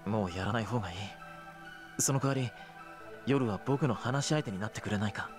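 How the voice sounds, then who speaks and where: A man's voice: lowish voice, very fast, faint music behind, natural voice. A man playing a troubled, lonely and earnest young medical student in a Japanese visual novel. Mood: gentle.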